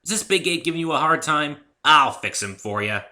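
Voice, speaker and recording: Man doing a brash, bright, boyish girl pegasus. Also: a little high, animated, a little fast, pitched above the performer's own voice. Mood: suspicious, threatening. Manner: blunt, cocky, casual. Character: brash, sporty, boyish female pegasus pony.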